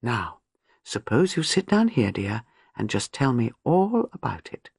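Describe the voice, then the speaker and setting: A woman reading an audiobook, voicing a calm, kindly elderly woman who talks softly and patiently. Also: a bass voice, measured pace, creaky, husky, no echo, studio quality, voice pushed down, full character voice. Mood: soothing. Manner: soft, soothing, patient.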